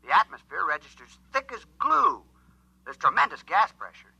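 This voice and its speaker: A man's voice: sits high, sing-song, normal speed, vocal fry, sharp tone, husky, no room sound, highs cut off, exaggerated voice. A man acting an alarmed alien spaceship pilot in a 1950s science fiction radio drama, speaking urgently through a radio transmission. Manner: urgent, insistent, short clipped reports. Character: alarmed alien spaceship pilot, joking turning desperate, pleading.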